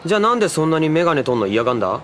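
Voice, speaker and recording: A man playing a brash, money-hungry young undead man in an anime audio drama, talking in rough, bossy slang. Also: a little high, sing-song, rapid-fire, a bit bright, slight background noise. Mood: irritated, bossy.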